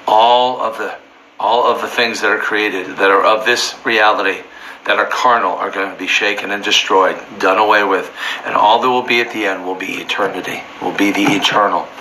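A slightly hoarse man teaching from the Bible informally, fervent and building to near-shouting emphasis. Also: on the low side, steady tone, moderate pace, slight background noise, low-quality recording.

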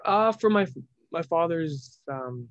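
A man's voice: a little high, expressive, takes it slowly, no room sound. A young man from Spain, a startup founder speaking as an interview guest, hesitant and reflective, often restarting his phrases. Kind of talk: casual interview talk. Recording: dull lo-fi sound.

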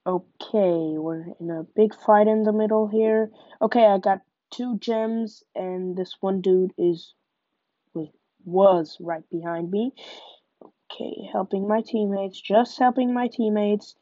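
Slow-talking young woman with a cold. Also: medium pitch, mellow, no room sound, lo-fi sound. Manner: chatty, narrates each move. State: nasal from a cold. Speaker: gaming podcaster. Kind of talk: live gameplay commentary.

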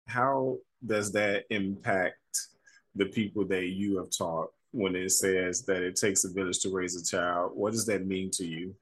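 A man's voice: low-pitched, fairly even intonation, a little slow, fairly bright tone, breathy edge, low-quality recording. A man interviewing a guest, asking questions in a friendly, affirming way with frequent "mm-hmm" and "okay".

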